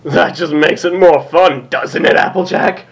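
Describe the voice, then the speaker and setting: A man's voice: a little high, measured pace. A man reading fan fiction aloud for comedy, casual and giggly, with amused asides.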